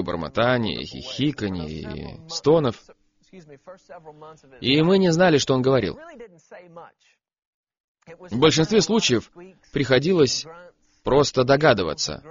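A man preaches with a lively voice that rises and falls widely as he tells stories and drives his points home. He repeats key phrases for emphasis. The sound is dull and lo-fi. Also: medium pitch. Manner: animated storytelling, emphatic repetition. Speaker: preacher, guest on a program. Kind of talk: sermon.

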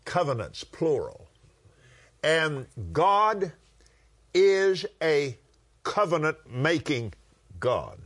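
A man from West Texas with a West Texas accent, teaching the Bible in a conversational preaching style with drawn-out, emphatic phrasing. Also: on the high side, sing-song, a bit bright.

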